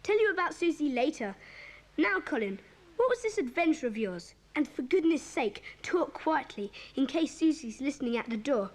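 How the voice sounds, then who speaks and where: A woman playing a bossy, excitable schoolboy club leader in a children's audio drama, with a commanding, eager manner. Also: sits high, moderate pace. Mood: bossy, hushed.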